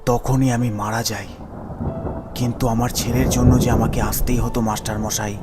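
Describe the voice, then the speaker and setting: A man's voice: medium pitch, level tone, over loud music, lightly acted. Man acting a mournful ghost of a village father. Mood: mournful, resigned. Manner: pleading, mournful, drawn-out. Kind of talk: Bengali cartoon story acting.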